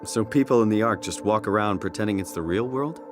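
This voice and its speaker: An actor plays an ordinary man as the hero of a video game. He is earnest and inquisitive, with a casual, wry delivery. His voice sits fairly low, with soft music in the background. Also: fairly quick, natural voice. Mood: curious. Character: everyman male game protagonist, questioning, earnest, wry.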